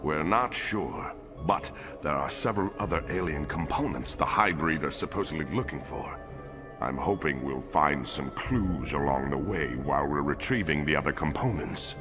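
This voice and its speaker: Big alien officer: extremely low, solemn, courteous and deliberate, video game, clear music bed. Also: male voice. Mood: earnest, businesslike. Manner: formal, measured, deliberate wording. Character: courteous, solemn four-armed male alien lawman, big and older. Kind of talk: animated game cutscene acting.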